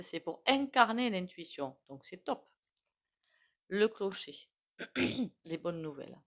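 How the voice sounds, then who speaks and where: A woman giving a casual, rambling tarot reading, full of fillers and frequent throat clearing. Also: fairly low pitch, fairly bright tone. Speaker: tarot reader.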